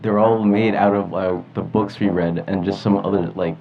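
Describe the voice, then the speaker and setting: A man's voice: lowish voice, fairly even intonation, normal speed. A teenage boy from New York co-hosting a podcast in casual, slangy chat. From New York, United States. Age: teens. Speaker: teen podcast co-host. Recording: muffled audio, clear background noise.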